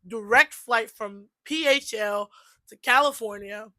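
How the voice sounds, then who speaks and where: A young woman in her twenties tells a personal story straight to camera, animated and chatty, with plenty of "like" fillers. Her voice is fairly high and bright, with a forceful edge. The sound is close and dry. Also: a little slow, no echo. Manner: animated, chatty, many "like" fillers, frequent laughing asides. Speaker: vlogger. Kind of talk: casual storytelling vlog.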